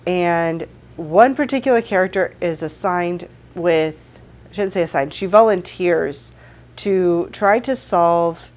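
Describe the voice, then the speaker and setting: A woman in her thirties, slightly congested from a cold, chatting casually and warmly about books she has read, from her home. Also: on the low side. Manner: friendly, chatty, upbeat. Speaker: book vlogger. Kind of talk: casual book wrap-up chat. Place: home room. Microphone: desk microphone.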